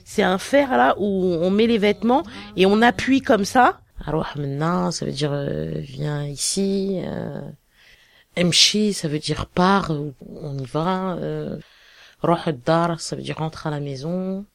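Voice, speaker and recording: Gravelly-voiced woman from Cameroon. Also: lowish voice, no room sound, clean studio sound. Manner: halting, many fillers and restarts. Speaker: interviewee. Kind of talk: casual personal testimony.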